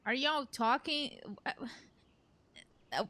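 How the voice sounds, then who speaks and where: A woman's voice: sits high, slow, pushed and bright. A woman streamer giving casual reactions to a video game in slangy, informal asides. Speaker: let's play streamer. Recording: game music behind voice.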